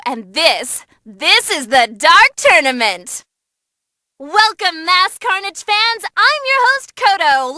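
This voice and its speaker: Perky, bloodthirsty female arena announcer for a fighting game; very high, hugely animated delivery, theatrical and showy. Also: no echo, exaggerated voice. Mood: perky, gleeful. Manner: perky, showy, gleefully relishing violence. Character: cute bubbly bloodthirsty female tournament announcer.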